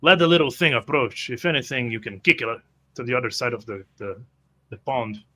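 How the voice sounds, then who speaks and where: Bright-voiced man roleplaying, reasoning aloud. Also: mid-range voice, a little fast. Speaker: tabletop roleplay player. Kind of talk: in-character roleplay mixed with describing actions.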